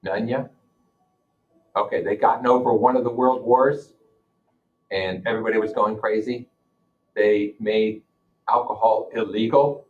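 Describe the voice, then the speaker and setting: A man's voice: lowish voice, darkish tone. A man teaching a class, reading a novel aloud and breaking off to explain it informally and put questions to his listeners. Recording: dull, lo-fi audio.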